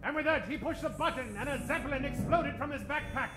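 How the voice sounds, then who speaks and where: A man's voice: extremely high pitch, fast talker. A man hosting and narrating an old-time radio serial with dramatic announcer delivery. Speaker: host and narrator. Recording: roomy stage recording, music behind.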